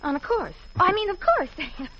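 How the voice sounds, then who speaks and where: An actress playing an excitable, devoted, naive detective's secretary with fretful exclamations in a 1940s radio drama. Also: female voice, extremely high pitch, fairly quick, exaggerated voice. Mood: puzzled. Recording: muffled old radio broadcast.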